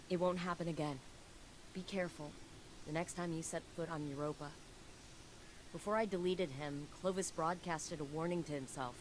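An earnest, reflective engineer voiced by a woman, speaking in a lower register for a woman, thoughtful and sincere, in a game cutscene. Noticeable noise runs under her voice. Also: lightly acted. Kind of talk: video game cutscene voice acting. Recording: noisy game capture.

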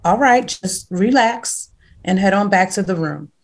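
A woman's voice: mid-range voice, expressive, measured pace. A woman playing an older nurse, matter-of-fact and placating, at a table read of a film script. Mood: calm, dismissive. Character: older female nurse, unruffled, dismissive, wryly patient.

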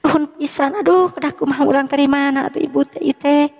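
A man voicing a frantic, tearful older village woman in a high, wailing falsetto, drawn out and pleading. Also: steady tone, fast, gravelly, big-room sound.

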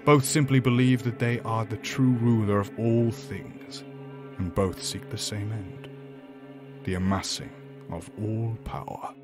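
A grave otherworldly demon, played by a man tells cosmic lore in a fairly deep voice. The delivery is formal, courtly and measured, as in a horror audio drama, with music clearly behind it. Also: fairly even intonation, close to an everyday voice. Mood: solemn. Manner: formal, deliberate, solemn, eloquent. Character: grave, courtly demon from a higher dimension, ancient cosmic storyteller.

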